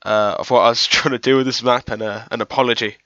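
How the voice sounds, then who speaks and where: A man's voice: mid-range voice, steady tone, fast, dry recording, dull audio. A man with a cold, sounding nasal and sniffly, giving a rambling, self-deprecating developer commentary on his own game map with lots of fillers. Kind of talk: casual gameplay commentary. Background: loud game music.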